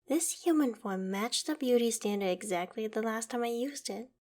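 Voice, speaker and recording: A woman voicing a proud, vain ancient dragon in human form for an ASMR roleplay drama, with formal, haughty, theatrical phrasing. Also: medium pitch.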